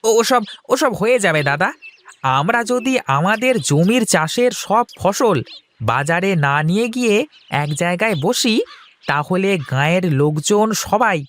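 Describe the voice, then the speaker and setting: A man's voice: high-pitched, expressive, unhurried, fairly bright tone. An actor playing a chatty, scheming younger village man in a cartoon folktale. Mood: eager, persuading. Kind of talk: Bengali cartoon folktale dialogue.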